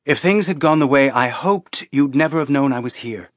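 A male narrator voices a dignified woman fugitive in a science fiction novel. She speaks in a composed, measured way and stays earnest, at a medium pitch, with no room sound. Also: dry recording, studio quality, natural voice. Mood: calm, dignified. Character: calm, dignified woman fugitive aboard a spaceship. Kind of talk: audiobook character acting.

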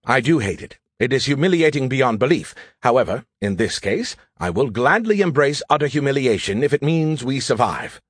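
A man voicing an arrogant, excitable alien artificial intelligence in an audiobook, with a sarcastic, condescending, theatrical manner. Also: medium pitch, normal speed, hardly any pauses, breathy edge, dry recording, studio quality. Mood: pleading, grudging. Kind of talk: audiobook character voice.

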